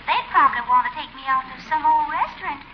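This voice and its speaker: An actress playing a flirtatious, sentimental belle in a 1940s radio comedy. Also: female voice, high voice, fast, crowd noise, natural voice. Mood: coy, sentimental. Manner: coy, flirtatious, gushing. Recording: muffled, noisy 1940s radio recording.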